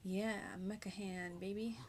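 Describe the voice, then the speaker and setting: A woman's voice: mid-range voice, steady tone, a little slow, a little mellow. Woman streamer rambling over background game noise. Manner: rambling, reacting aloud with slangy asides. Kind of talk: casual live game commentary.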